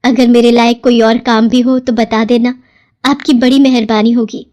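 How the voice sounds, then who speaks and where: A woman performs a poor young village mother for a cartoon story. The voice is very high and humble, with a polite, pleading way of speaking. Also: little pitch movement, normal speed, mellow, natural voice. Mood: polite, earnest.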